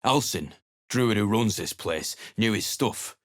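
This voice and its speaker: A man's voice: fairly low pitch, animated, measured pace. A man voicing a blunt, scornful sellsword. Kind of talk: video game voice acting.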